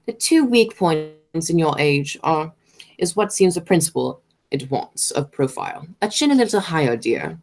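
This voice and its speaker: Woman playing swooping, imperious aristocratic aunt. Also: lowish voice. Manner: pompous, declamatory, stumbles over words. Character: imperious, snobbish, money-minded aristocratic English aunt. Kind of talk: stage comedy as amateur radio play.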